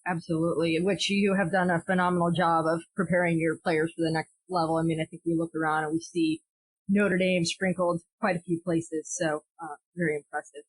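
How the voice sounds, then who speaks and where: Upbeat woman host, breathy and dry. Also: fairly low pitch, flat delivery, brisk, no room sound, poor audio. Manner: enthusiastic, affirming questions. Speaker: podcast host. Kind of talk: podcast interview.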